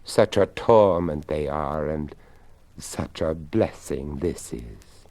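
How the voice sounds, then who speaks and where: Man voicing a genteel, slow-spoken male skeleton. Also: extremely low pitch, lively intonation, dark tone, no echo, exaggerated voice. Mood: grateful, genteel. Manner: genteel, polite, unhurried. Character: courteous, grateful old male skeleton in the earth.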